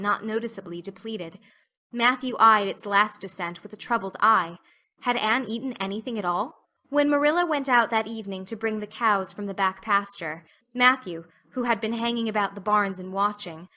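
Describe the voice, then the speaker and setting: A woman's voice: mid-range voice, pushed and bright. A woman reading scripted audiobook narration of a story as its narrator.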